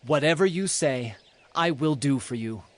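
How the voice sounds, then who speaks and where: An actor playing a loyal young prince in dramatized scripture, speaking earnestly and reassuringly. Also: male voice, a little high, expressive, normal speed, close to an everyday voice. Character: Jonathan, loyal young prince and sworn friend. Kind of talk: dramatized scripture audio acting.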